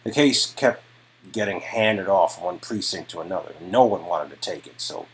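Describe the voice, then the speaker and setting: A man playing a weary, guarded detective with a low, raspy voice, halting and brooding as he confides in someone. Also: lively intonation, poor audio. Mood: grave, intense.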